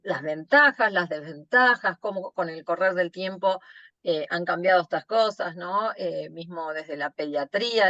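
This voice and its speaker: A woman's voice: lowish voice, a bit bright, dry recording. A woman, a podcast host, asking long, hesitant questions full of fillers. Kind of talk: interview questions. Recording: dull dry lo-fi sound.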